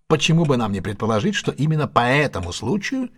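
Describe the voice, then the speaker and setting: A man's voice: mid-range voice, big pitch swings, quick pace, a bit bright, slightly rough. An actor in an audio drama playing a keen, self-assured male detective who reasons step by step in a didactic, theatrical way. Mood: probing. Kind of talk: Russian audio drama acting.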